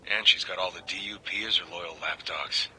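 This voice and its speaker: An actor playing a weary, cynical government agent turned secret informant, confiding in a plain-spoken, brooding way in a video game audio log. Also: male voice, low-pitched, steady tone, fairly quick, pushed and bright, slight background noise, low-quality recording. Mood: grave, warning.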